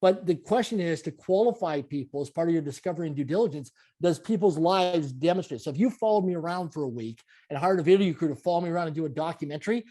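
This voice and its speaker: Upbeat high-voiced man talking mindset. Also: mellow. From Canada. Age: 40s. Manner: emphatic, repetitive motivational phrasing. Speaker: guest. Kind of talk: conversational interview.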